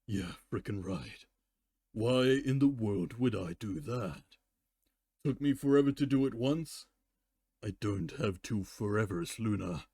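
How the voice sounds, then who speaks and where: A man's voice: lowish voice, animated, a little slow, warm tone, slightly rough, no room sound, heavily acted. A stubborn, mocking pony mare, as a man reads her in a storybook style. The delivery is flippant and dismissive, with a dry, close sound. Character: sarcastic, dismissive, stubborn young female pony. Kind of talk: dramatic fan fiction reading.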